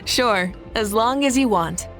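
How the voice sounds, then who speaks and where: A woman playing a confident, kindly, good-humored ruler in a video game, poised and gently teasing. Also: fairly high pitch, measured pace, faint music behind, close to an everyday voice. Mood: amused. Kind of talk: video game character acting.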